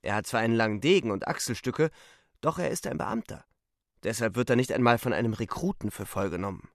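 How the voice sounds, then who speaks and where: An actor playing a wounded young soldier who narrates a radio drama in a terse, urgent, defiant way. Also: male voice, mid-range voice, lively intonation, fairly quick, no room sound, clean studio sound, natural voice. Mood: dry. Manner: terse, urgent present-tense telling.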